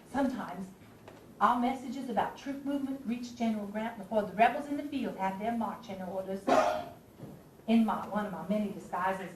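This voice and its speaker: A woman's voice: mid-range voice, close to an everyday voice. An actress playing a spirited Southern woman spymaster of the Civil War in a stage play, telling her story with wry drama. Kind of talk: theatrical stage monologue and dialogue. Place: theatre stage. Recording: distant, echoey stage recording with noise.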